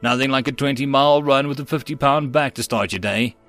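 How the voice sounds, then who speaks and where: A man reading a story aloud and voicing a tough, energetic female Marine who teases and grins as she talks. Faint music plays under the reading. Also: mid-range voice, fairly quick, close to an everyday voice. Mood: cheerful. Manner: teasing, energetic, grinning.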